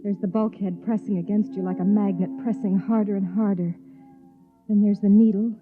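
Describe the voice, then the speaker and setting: An actress playing a frightened, remorseful young wife who narrates in a hushed, emotional 1950s radio drama style. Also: female voice, medium pitch, steady tone, moderate pace, lightly acted. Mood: dreamy, tender. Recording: tinny 1950s radio recording, music behind.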